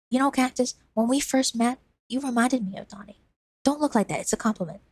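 A woman's voice: mid-range voice, slightly rough, no room sound, close to an everyday voice. A woman voicing an eager, trusting young man who talks in earnest, pleading bursts.

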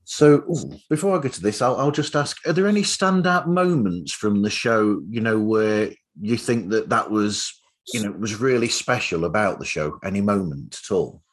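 A man's voice: fairly low pitch, expressive, moderate pace. A man hosting a podcast interview, asking questions casually and a little hesitantly.

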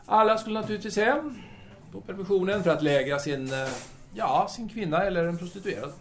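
A man acts out a womanizing intellectual soldier on leave for a radio play. His voice sits fairly high and swings in very lively arcs. He is self-absorbed and pompous, hesitating through wordy phrases. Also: faint music behind. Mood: sheepish, self-justifying. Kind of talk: audio drama acting.

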